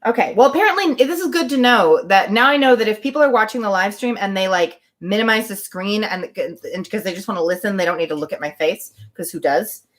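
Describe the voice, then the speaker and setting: A woman's voice: medium pitch, expressive, a little fast, hardly any pauses. A woman hosting a live reading of a novel, reading aloud with chatty, wry asides. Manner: chatty, wry commentary between passages.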